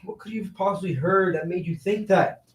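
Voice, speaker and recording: Recorded from afar in a roomy space, an actor plays a blaming, exasperated young man in a stage play. He has a high voice and talks in incredulous, street-wise slang. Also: fairly quick, close to an everyday voice. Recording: roomy echo, distant microphone.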